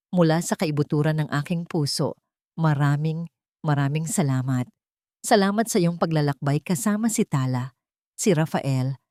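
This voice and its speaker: A woman narrating a scripted sentimental story in a measured, earnest way. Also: low voice, darkish tone, no echo, clean studio sound. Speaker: storyteller, narrator of audio drama. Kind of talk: scripted dramatic first-person narration.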